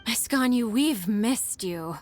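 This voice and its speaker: A woman voicing a kindly, admiring, unhurried townswoman in a video game. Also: a little high, lively intonation, a little hiss or hum. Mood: relieved admiring. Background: background music.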